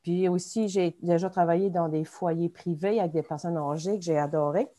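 A woman's voice: on the low side, fairly even intonation, moderate pace, dark tone. A woman in her forties from the Acadian Peninsula with an Acadian French accent, chatting as a podcast guest in a rambling, self-mocking way. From Acadian Peninsula, New Brunswick. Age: 40s. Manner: chatty, rambling, laughs at herself. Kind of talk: casual interview chat.

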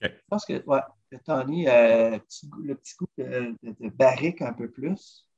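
Man, Québécois accent, husky voice, lively talker, interview guest, muffled lo-fi sound. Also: medium pitch, moderate pace. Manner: chatty, lively, keeps saying "listen" as he explains recipes. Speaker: guest, drummer and food traveler. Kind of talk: casual interview chat. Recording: dull lo-fi recording.

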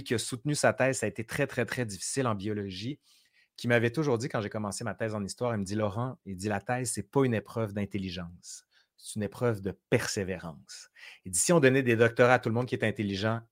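A man with a Quebec French accent, a guest telling stories in casual conversation and acting out his own thoughts. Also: mid-range voice. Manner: animated storytelling, acts out quoted inner voices.

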